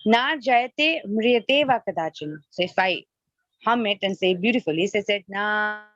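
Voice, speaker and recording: A woman's voice: mid-range voice, normal speed, a bit bright, no echo. A woman whose native language is Nepali gives an interactive devotional lecture as a spiritual teacher, often posing questions and rephrasing her points. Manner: questioning, explains by rephrasing and repeating. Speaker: spiritual teacher leading an online class. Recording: dull lo-fi online call audio.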